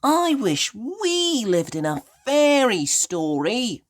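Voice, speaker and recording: A woman's voice: on the high side, sing-song, slow, voice pushed up. A woman voicing a cheerful, imaginative little girl pig in a children's storybook, eager and sing-song. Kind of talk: read-aloud children's storybook voice.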